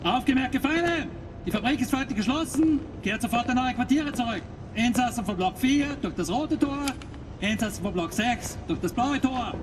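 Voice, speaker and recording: An actor playing a strident German camp guard in a video game, giving clipped, commanding announcements. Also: male voice, very high-pitched, expressive, normal speed, noisy background. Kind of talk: video game cutscene acting.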